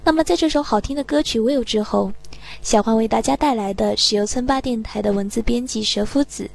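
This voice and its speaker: A woman's voice: fairly high pitch, brisk, creaky. A woman speaking Chinese, presenting scripted narration as a radio host. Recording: music playing behind the voice. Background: background music.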